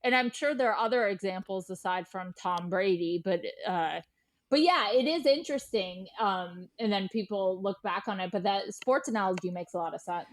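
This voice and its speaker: A woman's voice: mid-range voice, moderate pace. A woman chatting casually as a podcast guest, very informal, scattering filler words and acting out quoted remarks. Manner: informal, peppers speech with "like", animatedly quotes others. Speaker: journalist and author, podcast guest. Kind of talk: casual chat.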